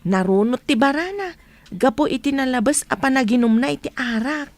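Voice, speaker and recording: A woman's voice: high-pitched, animated, moderate pace. A woman acting a sympathetic, gossipy village worker in a radio drama, speaking with an Ilocano accent. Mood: chatty, concerned.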